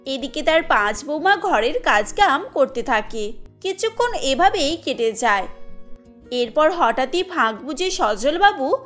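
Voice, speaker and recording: A woman's voice: high voice, big pitch swings, measured pace, a bit bright, faint music behind. A woman narrating a scripted family cartoon story.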